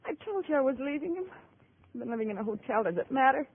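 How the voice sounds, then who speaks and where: A woman's voice: sits high, lively intonation, fast. An American woman playing a young widow, bruised, bitter and defensive, in 1950s radio drama style. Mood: upset, defensive. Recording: tinny, noisy old radio recording.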